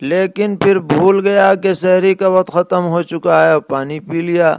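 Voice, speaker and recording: A man who speaks as an Islamic scholar, answering religious questions in a measured, deliberate way with pauses mid-sentence. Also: sits high, steady tone, gravelly, a little mellow, no room sound. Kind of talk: religious question-and-answer lecture in plain rulings.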